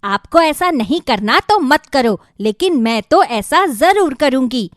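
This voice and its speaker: A woman's voice: high-pitched, lively intonation, measured pace, no echo, studio quality. A woman playing a greedy, bossy village wife in a cartoon moral story, with an emphatic storybook delivery. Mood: scolding, scheming.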